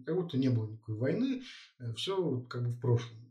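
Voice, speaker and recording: Fast-talking man, set back in an echoey room. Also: mid-range voice, very animated, dark tone. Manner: fast, questioning, many filler words. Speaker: co-host. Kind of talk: casual political discussion. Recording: echoey, a bit far from the mic.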